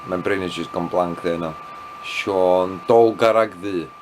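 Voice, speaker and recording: A man's voice: deep voice, a little slow, background noise. A man with a Welsh accent, a native Welsh speaker, rambling through an evening video diary, a little tipsy and often losing his thread. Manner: meandering, loses his thread, self-deprecating asides. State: tipsy after wine. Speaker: vlogger.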